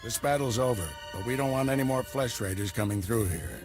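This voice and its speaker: An actor playing an older male Jedi Master in a video game, with a calm, reassuring manner. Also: medium pitch, brisk, natural voice. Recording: game cutscene with music behind.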